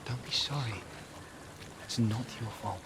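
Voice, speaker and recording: A man playing a calm warrior companion in a video game, softly and soothingly guiding someone with reassuring instructions. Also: medium pitch, measured pace, gravelly, background noise. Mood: encouraging. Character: calm male warrior companion guiding a frightened young woman. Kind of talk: cinematic video game acting.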